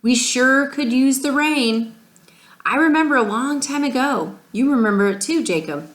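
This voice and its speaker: A woman's voice: high voice, normal speed, voice pushed up, close to an everyday voice. A woman reading the part of a wistful male country photographer in a storybook. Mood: wistful, faraway. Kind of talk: storybook read-aloud. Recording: echoey room.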